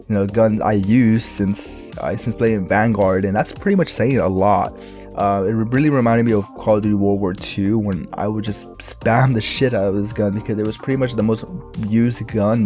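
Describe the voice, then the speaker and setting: A man with a raspy, recovering voice, giving casual gameplay commentary for his own video in a rambling, laid-back way with lots of fillers. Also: fairly low pitch, darkish tone. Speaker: gaming YouTuber.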